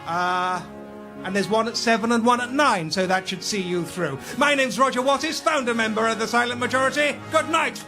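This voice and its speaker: A man's voice: very high-pitched, fairly quick, music in the background. An actor playing a smug, pompous man giving a matter-of-fact radio comedy monologue. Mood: smug, deadpan. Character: smug man signing off as pressure-group founder.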